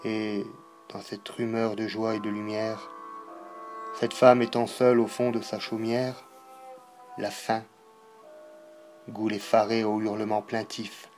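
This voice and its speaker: A man recites verse in a grave, declamatory way over faint music. His delivery is slow and deliberate, broken by pauses, and his pitch stays within a fairly narrow range. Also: little pitch movement, a little mellow. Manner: solemn, declamatory, measured phrasing with pauses. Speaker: poetry reciter. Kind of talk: dramatic poetry recitation.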